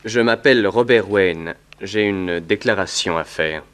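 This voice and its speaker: An earnest country vet in a 1960s French radio serial, with a low voice. He gives his account politely and deliberately, in careful order. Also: clear, resonant tone. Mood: earnest, helpful. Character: earnest, polite male veterinarian giving witness testimony. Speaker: actor in a detective radio serial.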